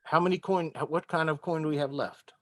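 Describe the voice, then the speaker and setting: A man's voice: on the high side, steady tone, brisk, no echo. Man chatting at a game table, dry sound. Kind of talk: casual game-table chat.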